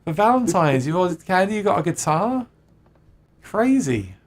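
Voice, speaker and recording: A man's voice: high-pitched, very animated, moderate pace. A man hosting a casual livestream, reading out viewer comments and chatting with plenty of laughs and restarts. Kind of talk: casual chat about guitars.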